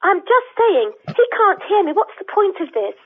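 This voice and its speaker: A woman's voice: very high-pitched, very animated, moderate pace. An actress playing an earnest, polite, plaintive churchgoing woman calling a radio phone-in over a telephone line. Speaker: phone-in caller. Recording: tinny narrowband phone line.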